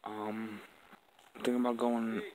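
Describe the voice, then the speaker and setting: A man's voice: fairly low pitch, level tone, a little slow, darkish tone, no echo, rough recording. A man talking casually and bluntly, with slang and swearing, as he films outdoors in the woods. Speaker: camper filming the outing. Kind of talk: casual camping vlog talk, swearing. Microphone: handheld camera he is holding.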